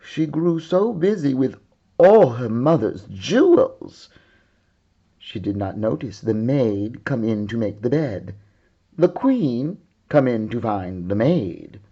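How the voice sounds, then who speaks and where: Slow, highly animated male storyteller. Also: breathy edge, lo-fi sound. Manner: dramatic, deliberate, theatrical. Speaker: storyteller, art teacher. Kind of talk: expressive children's story read-aloud.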